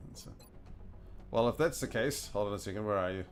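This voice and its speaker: A man's voice: fairly low pitch, fairly quick, faint music behind. A man with a New Zealand accent chatting casually and thinking aloud as he plays a video game, chuckling often. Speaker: gaming streamer. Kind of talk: casual commentary while playing a video game.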